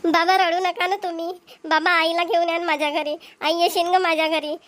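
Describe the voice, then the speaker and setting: A homesick young bride in a cartoon, voiced by a woman, pleads tearfully. Her voice is sentimental and extremely high, almost childlike. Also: little pitch movement, normal speed, a bit bright. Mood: tearful pleading. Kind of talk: amateur cartoon voice acting.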